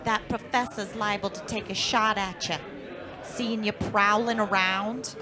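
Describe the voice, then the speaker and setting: A woman's voice: mid-range voice, steady tone, a little slow, a crowd in the background, close to an everyday voice. A woman playing a blunt, gossipy small-town post office clerk with a folksy manner in an old-time radio drama. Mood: gossipy, cautioning.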